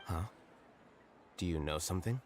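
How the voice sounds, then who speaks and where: A man voicing a cool, analytical scholar in a video game, measured and matter-of-fact. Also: low voice, a little hiss or hum, lightly acted. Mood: detached. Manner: measured, expository, detached. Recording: music behind.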